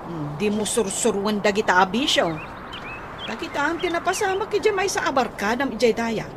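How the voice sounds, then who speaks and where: A woman's voice: a little high, animated, moderate pace, noisy background, natural voice. A woman playing a practical, nagging older sister who gives advice, in a radio drama with an Ilocano accent.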